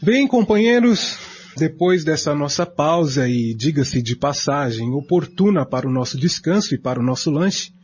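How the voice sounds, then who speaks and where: Actor as a lively high-pitched courteous Brazilian researcher. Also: male voice, moderate pace, lightly acted. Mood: formal, courteous. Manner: formal, wordy, courteous. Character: Nelson, courteous, methodical male researcher. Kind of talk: scripted radio drama acting. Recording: dull lo-fi audio with faint hum.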